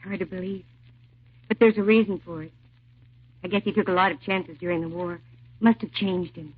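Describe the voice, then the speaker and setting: A woman's voice: lowish voice, fairly even intonation, moderate pace, a little hiss or hum, natural voice. An actress playing a disbelieving, sympathetic woman in a 1950s radio crime drama. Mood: disbelieving, earnest. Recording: tinny, narrow old radio recording.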